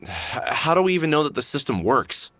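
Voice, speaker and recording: A man's voice: fairly high pitch, big pitch swings, brisk, a bit bright, dry recording. An actor playing a weary, cynical middle-aged man in a sci-fi visual novel, dry and sardonic, muttering observations to himself. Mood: unsure, businesslike. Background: music.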